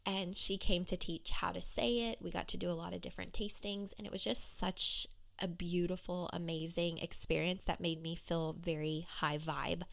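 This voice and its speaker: A woman business coach talking candidly on her solo podcast, with a hoarse, froggy voice. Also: lowish voice, fairly even intonation, normal speed, a bit bright. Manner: candid, conversational, with fillers.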